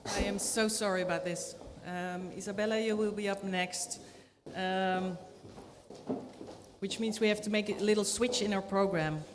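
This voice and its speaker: A woman's voice: mid-range voice, steady tone, normal speed. A woman moderating a conference, politely introducing speakers with hesitant uhs. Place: conference hall. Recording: reverberant hall with clear background noise.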